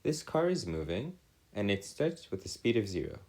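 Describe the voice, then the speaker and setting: A man giving a step-by-step lesson as a tutor, in formal, wordy phrasing with halting pauses and self-corrections. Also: lowish voice, big pitch swings, a little mellow. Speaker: math and physics tutor. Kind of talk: explanatory lesson, worked step by step.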